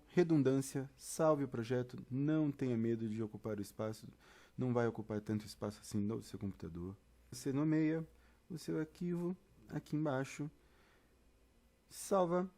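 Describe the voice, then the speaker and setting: A man with a Brazilian accent and a medium-pitched voice walks the listener through a tutorial. His delivery is informal and chatty, like someone explaining each step directly to a beginner. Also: warm tone. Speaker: theatre and audio tutorial presenter.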